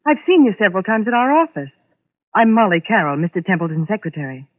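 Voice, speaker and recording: An actress playing an apprehensive but earnest young secretary in a 1940s radio drama. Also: female voice, mid-range voice, very animated, fast. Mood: earnest, worried. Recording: muffled lo-fi vintage radio recording.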